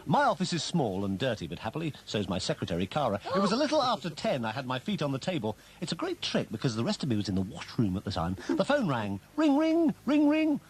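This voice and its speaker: A man's voice: mid-range voice, wildly swooping pitch, quick pace, hardly any pauses, slight background noise. A man playing a wisecracking private eye in a comedy radio serial, narrating with puns and self-mocking jokes. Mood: wry.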